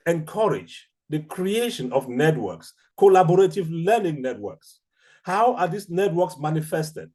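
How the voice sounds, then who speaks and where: A middle-aged man with a Cameroonian accent giving an academic seminar lecture in a measured, deliberate, explanatory manner. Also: a little high, mellow. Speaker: academic researcher, seminar speaker.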